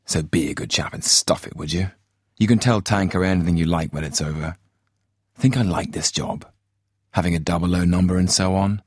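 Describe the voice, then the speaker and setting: A man's voice: deep voice, fairly quick, a little mellow, slightly rough, no echo, studio quality. A man voicing a weary, hardened male secret agent who speaks in clipped, sardonic lines.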